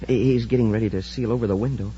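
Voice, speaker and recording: An actor playing an eager, excitable young space cadet sidekick, in a 1950s radio serial style. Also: male voice, on the low side, racing, close to an everyday voice. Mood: anxious, urgent. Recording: muffled, noisy old-time radio recording.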